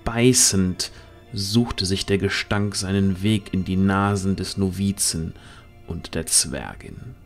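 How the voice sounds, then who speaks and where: A man reads scripted narration for a fantasy audio drama. His voice is fairly low and his pace slow, with faint music behind it.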